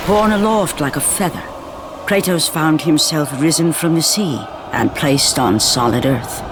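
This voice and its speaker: An actor narrating a video game cutscene as a grave storyteller of ancient myth, solemn and portentous. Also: male voice, fairly high pitch, unhurried, background noise, natural voice.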